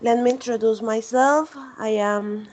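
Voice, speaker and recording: A woman's voice: a little high, fairly even intonation, darkish tone. Careful young Colombian woman. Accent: Colombian Spanish. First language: Spanish. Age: 20s. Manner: careful, deliberate. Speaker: student. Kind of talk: self-introduction in a class video call. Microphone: video call.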